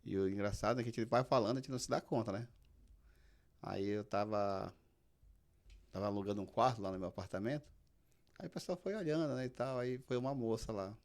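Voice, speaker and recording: Male podcast host, Brazilian accent, fairly low pitch, informal conversational delivery. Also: brisk, long pauses, a little mellow. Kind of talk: casual chat.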